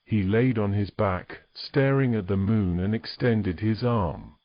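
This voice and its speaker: A man's voice: on the low side, unhurried, no echo, muffled recording. A man reading scripted narration for a romantic fantasy story as its narrator.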